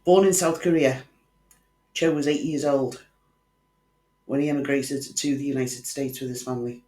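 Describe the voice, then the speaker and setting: A man's voice: medium pitch, steady tone, normal speed, mellow. A man hosting a true crime channel, telling a case in scripted narration with wry conversational asides to the viewer. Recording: echoey room.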